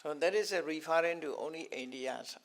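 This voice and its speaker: An older man who is not a native English speaker teaches scriptural terms to a class in a halting way, repeating words and chuckling often. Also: a little high. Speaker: Buddhist teacher.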